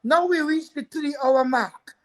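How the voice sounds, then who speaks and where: A woman's voice: sits high, measured pace, slight background noise. A woman hosting a live talk show, chatty, addressing viewers and repeating words as she goes. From Trinidad.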